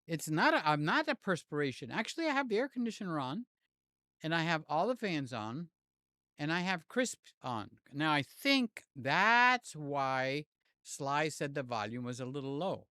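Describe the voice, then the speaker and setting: An older man hosts a casual live stream, joking and calling out viewers by name. His voice is a little hoarse and sits a touch high for a man. He sounds close and dry on a desk mic at home. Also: sing-song, normal speed, sharp tone, no room sound. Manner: rambling, jokey, talks to chat by name. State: slightly hoarse. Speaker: livestream host. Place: home room with fans and air conditioner. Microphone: desk mic near the mouth.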